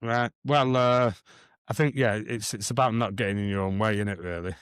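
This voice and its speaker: A man's voice: lowish voice, fairly even intonation, a little fast, smooth, dry recording. A man co-hosting a podcast, chatting casually and hesitantly, often repeating his words. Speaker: podcast co-host.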